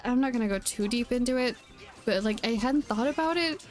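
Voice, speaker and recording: Woman streamer chatting haltingly, music behind. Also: fairly high pitch. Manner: hesitant, rambling, self-correcting. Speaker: game streamer. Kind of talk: casual chat while playing a game. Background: background music.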